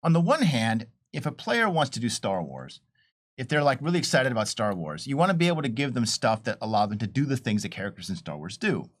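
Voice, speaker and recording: A man's voice: medium pitch, big pitch swings, quick pace. A man explaining his points casually as a panelist, posing rhetorical questions as he goes. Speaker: panelist, adventure developer.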